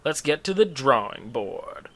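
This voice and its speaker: A man's voice: mid-range voice, sing-song, measured pace, fairly bright tone, heavily acted. A man voicing a suave bad-boy celebrity scientist in a comic story, cocky and laid-back. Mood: smooth, confident. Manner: cool, laid-back swagger.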